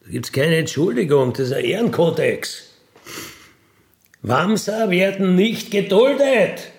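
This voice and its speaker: A man with a gravelly voice, recounting in a blunt, matter-of-fact, colloquial way with emphatic tag questions. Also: high-pitched, lively intonation, a little slow, darkish tone. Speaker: ex-convict telling his experiences. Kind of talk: colloquial spoken monologue, recounting.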